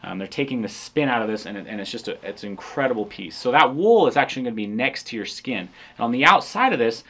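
Seated at a camera in an indoor studio, a man in his thirties talks through a product in a casual, explanatory way. His voice sits at an ordinary middle pitch, and he fills pauses with frequent ums. He sounds relaxed. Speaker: company founder presenting a product. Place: indoor studio set with hanging jackets.

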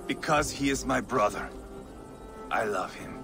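An actor voicing a blunt young viking warrior in a game cutscene, speaking firmly and earnestly. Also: male voice, medium pitch, unhurried, music in the background, close to an everyday voice. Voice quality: firm, earnest. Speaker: game character.

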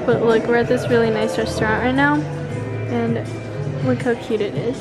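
Young female vlogger, higher-pitched voice, casual chat, handheld camera, prominent music underneath. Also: little pitch movement, normal speed. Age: young adult. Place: indoor restaurant table. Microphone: handheld camera at arm's length. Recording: loud music over the voice. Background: loud music.

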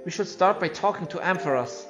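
Male performer as a little mouse creature for a video game, high, anxious and pensive, non-native English speaker, background music. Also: expressive, a little fast, highs cut off. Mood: thoughtful. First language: not English. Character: small electric-mouse hero, thoughtful and anxious.

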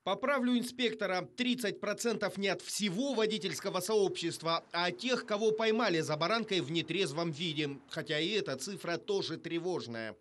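A man's voice: high voice, moderate pace, hardly any pauses, fairly bright tone, a little hiss or hum. A male reporter reading a scripted news voice-over with a wry, ironic touch.

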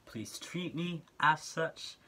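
A man's voice: a little high, slow, pushed and bright. A teenage guy from Norway with a Norwegian accent, talking earnestly and casually to camera as a vlogger, full of filler words, in a small bedroom. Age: teens.

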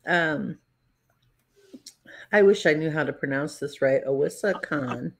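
Low-voiced woman reading chat comments. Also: unhurried, gravelly. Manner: reads chat comments aloud, wry and laughing. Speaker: podcast co-host. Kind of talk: casual livestream chat. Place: home room.

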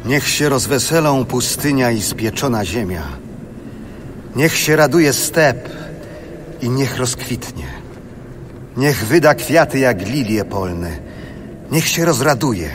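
A man narrates scripture solemnly and dramatically. He reads slowly at a middle pitch, over clear ambient noise. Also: lively intonation.

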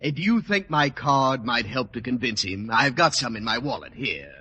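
An actor playing a pompous, blustering middle-aged education official in a 1940s radio sitcom. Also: male voice, mid-range voice, very animated, normal speed, sharp tone, breathy edge, heavily acted. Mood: curious, stiff. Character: pompous, easily indignant chubby state education official. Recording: old radio recording, faint noise.

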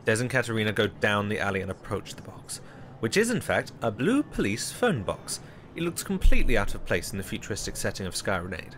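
A man's voice: on the low side, sing-song, brisk, fairly bright tone. A man reading scripted narration for an audio drama. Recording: faint background noise.

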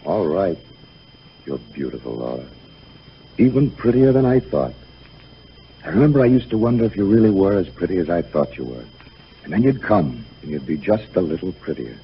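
Casting: boyish young ghost son, wistful, swinging low-voiced delivery, muffled 1930s radio drama. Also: male voice, very animated, normal speed, pauses often, slight background noise. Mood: tender.

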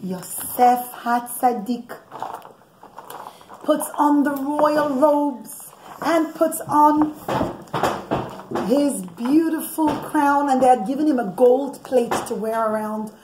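Middle aged mom doing storytime for kids, high voice, explains stuff as she goes, roomy echo, bit of noise. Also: slow pace. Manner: explanatory, addresses listeners as children, acts out characters' thoughts. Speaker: mother telling children a bedtime story. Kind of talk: animated storytelling to children. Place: indoors, living or dining room at home. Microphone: camera or phone on the table, about a metre away. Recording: echoey room, background noise, clicks.

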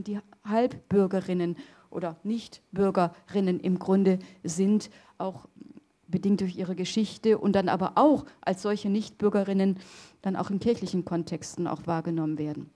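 A middle-aged woman giving an academic lecture in a hall on a handheld microphone, pausing often with fillers and restarts. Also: medium pitch, fairly even intonation, mellow. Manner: hesitant, many fillers and restarts. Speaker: theology lecturer.

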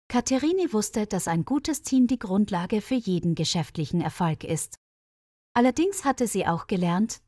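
A woman reads a scripted story for language learners, phrasing each sentence clearly. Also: mid-range voice, dark tone, no room sound, clean studio sound. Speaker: narrator of language-learning stories. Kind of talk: scripted narration.

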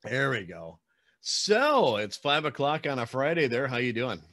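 A man's voice: medium pitch, sing-song, measured pace, bright, forceful tone. A man in his forties hosting a podcast in casual, self-deprecating chat, recorded close on a studio microphone in a small soundproofed room. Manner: informal, self-deprecating, sprinkled with swearing. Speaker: podcast host. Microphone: studio microphone with pop filter, close.

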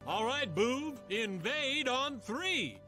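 A man's voice: very high voice, very animated, slow, bright, forceful tone, faint music behind, full character voice. An actor voicing a pompous, cowardly male alien leader who speaks in broken grammar. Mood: announcing. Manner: boastful announcer tone, sudden squeals. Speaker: alien captain addressing his people. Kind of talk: animated film dialogue.

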